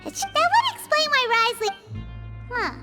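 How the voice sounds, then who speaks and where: Casting: tiny chirpy game sidekick, squeaky and childlike, wildly animated pitch, curious and blunt. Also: female voice, normal speed. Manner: refers to self in third person, exclamatory. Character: small, chirpy floating fairy companion, childlike, curious and blunt. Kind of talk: video game voice acting. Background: music.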